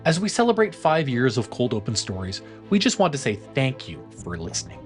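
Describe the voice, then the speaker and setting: A man's voice: mid-range voice, wildly swooping pitch, fairly quick, soft background music. A man hosting a story show, reading a scripted announcement addressed to listeners. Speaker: host reading a show outro.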